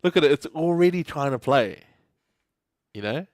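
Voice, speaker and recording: A man's voice: fairly high pitch, animated, normal speed, a little mellow, no echo, clean studio sound. Chatty New guy coding live. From New Zealand. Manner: chatty, laughs often, reads chat aloud. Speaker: livestream host. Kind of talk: casual live coding stream.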